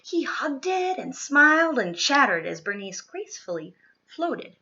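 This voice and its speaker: A woman with a bright, fairly high voice reads a children's story aloud. She takes her time and stresses key words dramatically. The recording is a little dull and lo-fi. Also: sing-song, measured pace. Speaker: storybook reader. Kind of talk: expressive children's picture book read-aloud.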